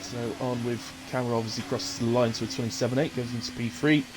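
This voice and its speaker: A man commentating a sim racing stream, brisk and chatty, with a chesty cough. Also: mid-range voice, fairly even intonation, music in the background. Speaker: race commentator. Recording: noisy stream mic with car sounds.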